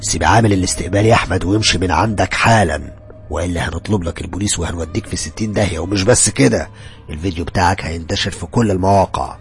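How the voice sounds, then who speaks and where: Guy playing a cold egyptian criminal boss type, deep and gravelly, calmly threatening and condescending. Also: rapid-fire, soft background music. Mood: cold menace. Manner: controlled, condescending, menacing. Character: cold, threatening male agent of criminal brotherhood.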